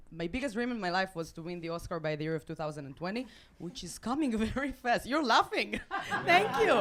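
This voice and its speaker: A woman in her late twenties from Israel, with an Israeli accent and Hebrew as her first language, performing stand-up with deadpan, self-mocking delivery and halting restarts. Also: medium pitch. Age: late 20s. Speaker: stand-up comedian. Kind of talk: stand-up routine. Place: comedy club stage with a live audience.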